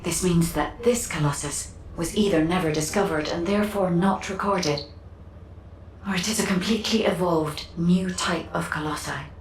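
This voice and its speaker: A woman playing a composed, analytical guide in a video game, with a formal, measured, clinical delivery. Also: fairly low pitch, a little hiss or hum, natural voice. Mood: intrigued, serious. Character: composed analytical female guide, serious and precise. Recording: echoing, distant, faint music behind.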